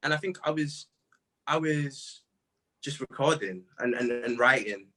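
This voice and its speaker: A man's voice: medium pitch, a little slow, pushed and bright, rough recording. A young man with a British accent, chatting casually as a musician being interviewed, laid-back and full of slang.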